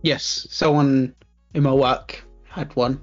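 A man's voice: medium pitch, fairly even intonation, slow, lo-fi sound, faint music behind. A man co-hosting a casual podcast, telling laid-back stories and swearing casually. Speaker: podcast co-host. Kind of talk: casual chat.

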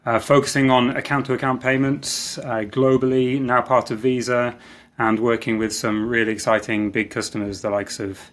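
A man's voice: medium pitch, little pitch movement, normal speed. Reflective male interview guest. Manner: reflective, thinking aloud with restarts. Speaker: guest, marketing executive. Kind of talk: podcast interview.

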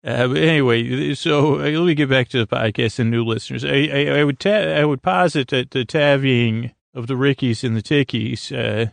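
A man hosting a sleep podcast, rambling gently and hesitantly through silly, goofy asides in a gravelly, creaky voice. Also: medium pitch, no echo. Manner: meandering, hesitant, halting asides. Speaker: podcast host. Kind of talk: rambling bedtime monologue to help listeners sleep.

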